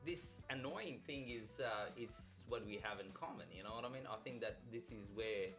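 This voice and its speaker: A man's voice: fairly low pitch, moderate pace. Informal man from Afghanistan, music behind him. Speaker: podcast co-host. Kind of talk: casual personal storytelling.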